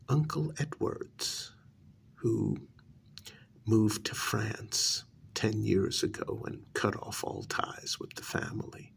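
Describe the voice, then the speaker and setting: Man acting a gravelly, menacing high-society vampire. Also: a little hiss or hum, heavily acted. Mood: taunting. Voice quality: gravelly, creaky. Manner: menacing, mock-sympathetic, drawn-out, often dropping to a whisper. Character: cruel, haughty male high-society vampire taunting a captive. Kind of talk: ASMR role-play, intimate dramatic monologue.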